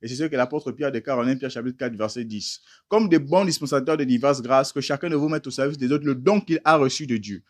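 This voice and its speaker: Male preacher, average pitch, emphatic teaching sermon with rhetorical questions. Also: brisk, hardly any pauses. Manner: addresses listeners as beloved brothers.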